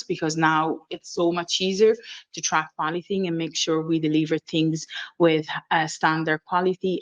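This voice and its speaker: A woman with an Italian accent speaks in a low register. She presents in an informal professional style, hesitating and correcting herself as she goes. The recording is slightly muffled and lo-fi. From Italy. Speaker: training and development manager presenting. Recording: dull, lo-fi audio.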